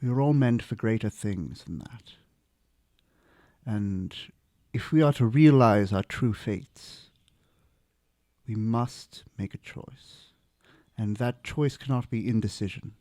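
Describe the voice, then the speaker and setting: Man playing a solemn young demigod, mellow voice. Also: lowish voice, no echo, clean studio sound. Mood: earnest, solemn. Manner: deliberate, solemn, halting. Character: earnest, socially awkward young male demigod, idealistic and ambitious. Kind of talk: improvised in-character tabletop roleplay.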